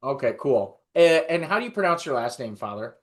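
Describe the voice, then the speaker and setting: A man's voice: mid-range voice, big pitch swings, a little fast. Informal American man hosting a podcast. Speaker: podcast host. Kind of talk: casual interview chat.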